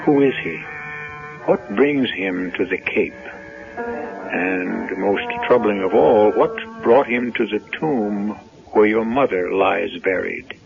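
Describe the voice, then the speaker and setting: A thin old radio recording with faint music. an ominous mystery storyteller, hosting with low, gravelly, portentous narration. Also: male voice, takes it slowly, phone-quality sound. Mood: ominous, probing. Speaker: series host. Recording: thin, band-limited 1970s radio recording.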